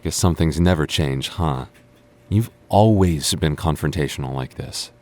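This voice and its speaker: A man's voice: rumbling low, a little slow, a little mellow. A young man acting as a sarcastic, teasing and stubborn childhood friend in a naturalistic boyfriend audio roleplay. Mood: irritated bickering. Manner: teasing, sarcastic, stammers when flustered. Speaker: voice actor.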